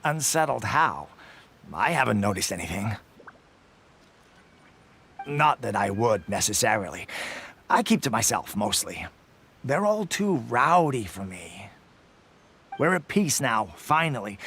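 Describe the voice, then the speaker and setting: A man voicing a drowsy, laid-back loner soldier in a video game, languid and breathy. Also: mid-range voice, fairly bright tone, a little hiss or hum, heavily acted. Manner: languid, sleepy, sighing. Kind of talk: video game character acting.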